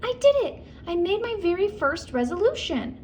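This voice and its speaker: A high, squeaky little squirrel from a picture book, eager and excitable, her voice leaping all over the place, as a woman reading aloud puts her on. She is a helpful, bright-eyed forest creature. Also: moderate pace, slight background noise. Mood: earnest.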